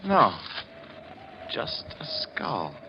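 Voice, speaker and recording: Dismissive estate owner, confident, varied intonation, dull 1940s radio recording. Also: male voice, medium pitch, huge pitch swings, drawn-out words, creaky, a little hiss or hum, full character voice. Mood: brisk, dismissive.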